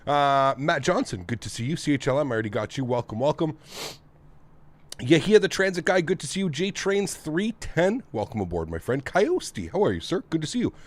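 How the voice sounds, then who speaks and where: A man's voice: fairly high pitch, sing-song, fairly quick. A man from North America, sounding nasal and congested, hosting a casual live stream and greeting viewers one by one in an upbeat, friendly way. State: congested throat from the weather. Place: home flight sim setup.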